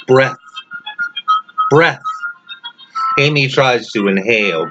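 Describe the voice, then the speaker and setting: A man reading a literary story as its author in a dramatic narration style, with deliberate, set-apart phrasing. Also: lowish voice. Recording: dull lo-fi sound under loud music. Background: loud music.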